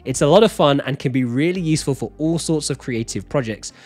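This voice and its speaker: A man in his thirties hosting a scripted tutorial in an emphatic, animated presenter style, close to a desk microphone. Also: a little high, fairly quick. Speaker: host of a software tutorial channel. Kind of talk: scripted upbeat video tutorial narration. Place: small home-style studio, indoors. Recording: background music. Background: music.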